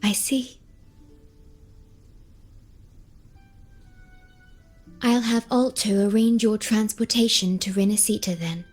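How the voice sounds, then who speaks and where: An actress voicing a composed, formal young female advisor in a video game, explaining in a measured way. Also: medium pitch, darkish tone, slightly rough, faint music behind, close to an everyday voice. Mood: calm, attentive. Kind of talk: scripted game dialogue.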